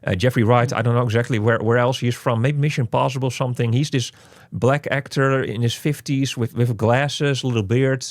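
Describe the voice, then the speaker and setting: A man's voice: medium pitch, fairly even intonation, a little fast, few pauses, no room sound, clean studio sound. A man co-hosting a casual game review chat, rambling and joking with many restarts. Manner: rambling, jokey, self-interrupting. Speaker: co-host of a game review show.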